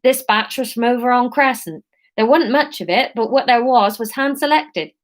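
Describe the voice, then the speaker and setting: A woman's voice: on the high side, normal speed, voice pushed up, natural voice. A woman voicing a friendly female market seller with a put-on Somerset accent and homely sales patter. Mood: warm, sly.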